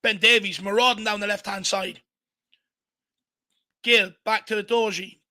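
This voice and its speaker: A hoarse Irish man hosting a fan watchalong, giving excited live commentary and urging the players on. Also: high voice, unhurried. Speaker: fan channel host. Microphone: home desk mic.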